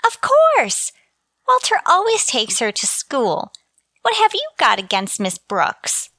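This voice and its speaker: A woman's voice: sits high, wildly swooping pitch, slow pace, bright, forceful tone, no room sound, heavily acted. A woman playing an earnest, polite teenage girl, a principal's daughter, in a radio comedy. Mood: protesting, earnest. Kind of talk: old-time radio comedy acting.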